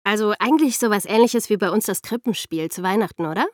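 A woman's voice: mid-range voice, fast, dry recording, clean studio sound. A woman co-hosting a podcast, commenting in a casual, conversational style. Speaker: podcast co-host.

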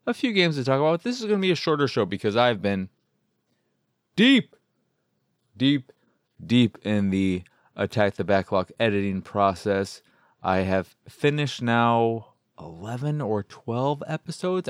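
A man's voice: medium pitch, dry recording, studio quality. A man hosting a casual solo video game podcast, rambling and jokey, often interrupting himself and repeating words for emphasis. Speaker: podcast host. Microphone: close podcast microphone in a dry space.

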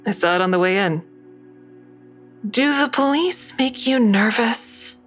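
A woman's voice: fairly high pitch, animated, measured pace, fairly bright tone, soft background music. A woman playing a guarded, protective grown daughter in an audio drama, dry and confrontational. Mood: suspicious, challenging. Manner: dry, pointed, challenging. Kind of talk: full-cast audio drama acting.

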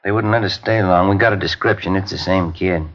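A plain-spoken police detective. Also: male voice, low voice, steady tone, fairly quick. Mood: matter-of-fact. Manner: plain, matter-of-fact reporting. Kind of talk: 1950s radio drama acting. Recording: dull, lo-fi 1950s radio broadcast.

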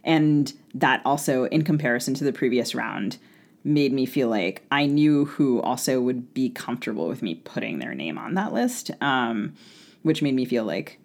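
A woman's voice: rumbling low, expressive, moderate pace, vocal fry, darkish tone. A woman novelist talking casually as a podcast co-host, thinking aloud and often breaking off to rephrase. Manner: hesitant, self-interrupting, thinking aloud. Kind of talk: casual interview conversation.

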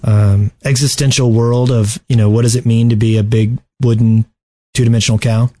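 A man's voice: mid-range voice, flat delivery, normal speed, warm tone. A man co-hosting a gaming podcast, bantering casually in a playful, tongue-in-cheek manner. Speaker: podcast co-host.

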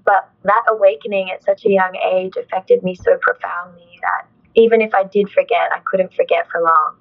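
An earnest young woman reflects aloud in an interview, her speech loose and filled with "like" and "you know". Her voice sits at an ordinary pitch. The audio is a little muffled and dull. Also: fairly even intonation, normal speed, creaky. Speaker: interview guest, actress.

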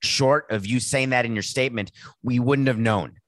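A man hosting a sports podcast, with a raspy voice and a sardonic, mocking way of talking. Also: medium pitch, moderate pace, a bit bright, dry recording. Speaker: podcast host. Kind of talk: sarcastic sports commentary.

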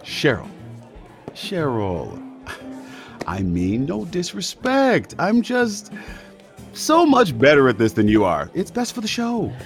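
Smug male Broadway producer: boastful, condescending, highish animated voice, roomy sound with music behind. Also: slow, long pauses, exaggerated voice. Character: smug, self-important male Broadway producer, slick showman. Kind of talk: scripted audio comedy acting.

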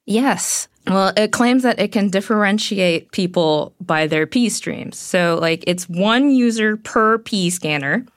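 A woman's voice: medium pitch, no room sound, studio quality. A woman tech reviewer chatting animatedly as a podcast guest, a little sniffly. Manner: animated, quick asides with laughs. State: slightly sniffly after a cold. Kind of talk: casual podcast chat.

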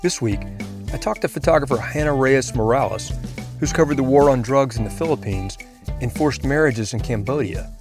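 A man's voice: mid-range voice, normal speed, music in the background. A man hosting a podcast, introducing and interviewing in a conversational way.

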